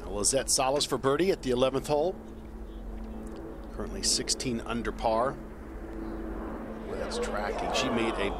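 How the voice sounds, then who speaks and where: Calm male on-course golf announcer. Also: medium pitch, background noise. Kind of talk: golf commentary.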